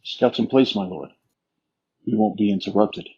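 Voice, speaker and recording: Casting: male actor as gruff, loyal sci-fi general, low and husky, muffled helmet sound. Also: normal speed, warm tone. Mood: gruff, familiar. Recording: dull, muffled audio.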